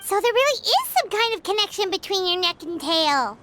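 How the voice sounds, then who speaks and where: An actress voicing a tiny, childlike fairy companion in a video game, perky, curious and chatty with squeaky, exaggerated delivery. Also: female voice, very animated, brisk, a little hiss or hum, heavily acted. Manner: exaggerated, bubbly. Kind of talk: video game voice acting, English dub. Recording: loud children's-style music behind.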